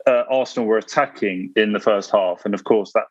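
A man telling a relaxed story about a football match on a podcast. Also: on the low side, brisk, no echo, muffled recording. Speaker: podcast co-host. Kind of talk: casual football chat.

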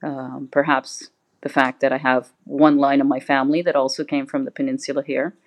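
A woman's voice: extremely low pitch, little pitch movement, brisk. A middle-aged woman from Canada, a guest in an interview, answering thoughtfully and hesitantly with many fillers. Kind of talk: conversational interview.

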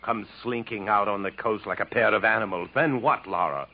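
An actor playing a bitter, sardonic, fatalistic jungle wanderer in 1950s American radio drama style, dramatic and mocking. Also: male voice, fairly low pitch, brisk, vocal fry. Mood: bitter mockery. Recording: muffled, noisy 1950s radio recording.

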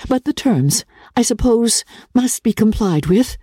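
A woman voicing a spirited, earnest young gentlewoman in a dramatic reading of a classic novel, with emphatic, formal period speech. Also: fairly high pitch, lively intonation, unhurried, husky, no echo, clean studio sound, voice pushed up. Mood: uneasy.

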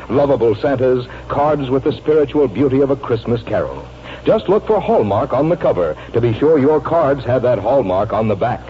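A man's voice: medium pitch, a little hiss or hum. An American man reading scripted announcements as a 1940s radio announcer. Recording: dull 1940s radio broadcast recording.

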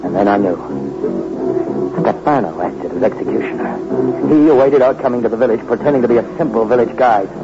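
A man's voice: mid-range voice, brisk, lightly acted. An actor playing a tough, greedy fugitive who tells his crime tale in a hard-boiled, slangy 1960s radio suspense style. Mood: suspicious. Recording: dull old radio recording with music.

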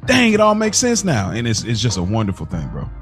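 Low-voiced man chatting over music. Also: wildly swooping pitch, a little fast. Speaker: podcast co-host. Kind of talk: casual chat.

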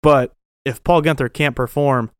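A man's voice: mid-range voice, steady tone, normal speed, clean tone, no echo, studio quality. A man in his twenties giving an opinionated, informal football commentary as a show host, blunt and emphatic. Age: 20s. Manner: emphatic, blunt, informal with mild slang swearing. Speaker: sports fan show host.

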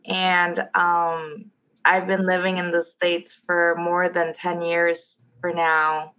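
A woman's voice: lowish voice, monotone, slow pace, sharp tone, low-quality recording. A woman from South Korea, a guest nurse giving casual interview answers.

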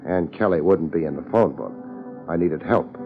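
An actor playing a tough, laid-back private investigator in a 1950s radio drama, terse and wisecracking, with dry narration. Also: male voice, low voice, moderate pace, over loud music. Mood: dry, weary. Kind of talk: 1950s radio detective drama acting with first-person narration. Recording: muffled, noisy 1950s radio recording.

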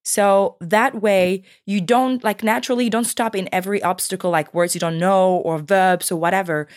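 A woman with a Brazilian accent, co-hosting a podcast in animated casual conversation, often laughing and saying "you know". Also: medium pitch, moderate pace, no room sound, clean studio sound. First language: Brazilian Portuguese. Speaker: podcast co-host.

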